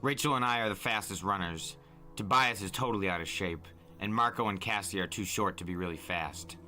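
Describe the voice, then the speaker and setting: Deep, forceful male storyteller. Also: fairly quick, faint music behind. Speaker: storyteller, podcast narrator. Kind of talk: dramatic audiobook narration.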